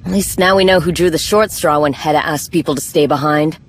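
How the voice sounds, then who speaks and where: Woman playing a blunt, low-voiced warrior woman. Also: brisk, slight background noise, close to an everyday voice. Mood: wry, analytical. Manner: blunt, clipped, dry. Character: brisk, no-nonsense Mandalorian warrior woman, dry and proud. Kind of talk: video game cutscene acting.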